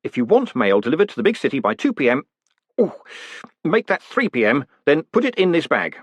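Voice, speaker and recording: Man acting a brash, husky postmaster, very animated. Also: on the high side, no room sound, lo-fi sound, pitched above the performer's own voice. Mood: brash, commanding. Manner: clipped orders and quick questions. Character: brisk, brash male postmaster, cocky and commanding.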